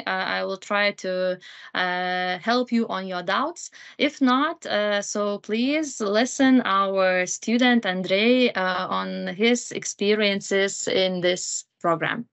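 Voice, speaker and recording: A woman introduces a university program to prospective students. She talks in a halting way, filling her pauses with frequent "uh"s, and her pitch sits in an ordinary middle range. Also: a bit bright. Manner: hesitant, many fillers. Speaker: program coordinator. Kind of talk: informational presentation.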